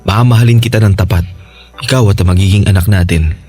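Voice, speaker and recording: A man playing a devoted, reassuring young husband in a Tagalog radio drama, speaking gently and earnestly. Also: deep voice, fast, breathy edge, no echo, faint music behind. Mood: loving, earnest.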